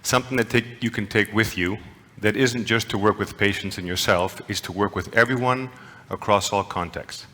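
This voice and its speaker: A man gives a lively lecture from a stage, playful and engaging as he quizzes the crowd. His voice is bright and forceful, set fairly low. The sound rings with the long reverb of a large hall. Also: moderate pace, few pauses. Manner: playful, engaging, asks the audience questions. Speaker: conference lecturer. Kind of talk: interactive lecture to an audience.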